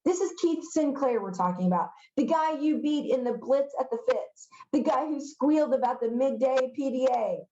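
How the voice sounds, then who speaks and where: A woman's voice: sits high, moderate pace, few pauses, mellow, echoey room, highs cut off, voice pushed up. A woman reading the part of a pushy, sneering middle-school newspaper editor boy in a storybook, insistent and sarcastic.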